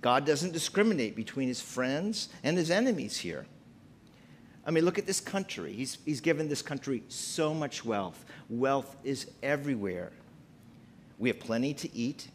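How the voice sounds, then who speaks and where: A middle-aged man delivers a sermon in a church sanctuary, his voice rising and falling in lively swings as he presses his points. He speaks at a medium pitch through a pulpit mic. A faint low rumble sits under his voice. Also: normal speed. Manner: preaching with wide pitch swings, emphatic and gesturing. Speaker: pastor.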